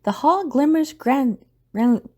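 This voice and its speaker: A woman with a husky voice reading scripted audio drama narration as the narrator and host. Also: sits high, expressive, takes it slowly, dark tone.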